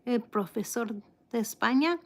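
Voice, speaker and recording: A woman from the United States hosting a casual live chat, with slightly impeded articulation and a halting, unhurried manner. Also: on the high side, animated. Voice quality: slightly impeded articulation from a false tooth and past stroke. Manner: halting, unhurried, greeting viewers by name. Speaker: live stream host.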